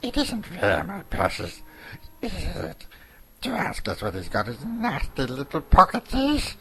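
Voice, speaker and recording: A man voicing a wretched, hungry cave creature in a storybook reading, with a hissing, whispery rasp, muttering and wheedling to himself. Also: fairly high pitch, wildly swooping pitch, a little slow, bright, forceful tone, voice pushed up, heavily acted. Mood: upset, whining.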